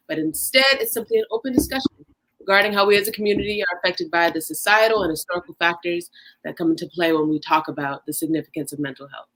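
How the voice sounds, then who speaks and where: A woman's voice: medium pitch, a bit bright, low-quality recording. A woman hosting a casual podcast on a livestream, upbeat and chatty. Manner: upbeat, chatty, drawn-out emphasis. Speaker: podcast host.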